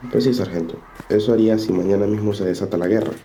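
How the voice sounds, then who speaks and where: Man playing young soldier, resentful, indignant, lowish pitch, audio drama, faint background noise. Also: steady tone, quick pace, warm tone, natural voice. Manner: indignant, defiant. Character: hot-headed tall young soldier, resentful, with Scottish roots. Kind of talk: amateur audio drama acting.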